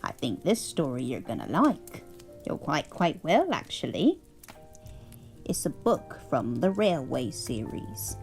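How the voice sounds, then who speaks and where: A prim, chuckling English grandmother, as a woman puts her on, settles in to read a bedtime storybook. She speaks slowly, with a lilting, swooping tone. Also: sits low, wildly swooping pitch, soft background music, exaggerated voice. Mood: doting. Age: older. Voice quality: sing-song, gently laughing, prim.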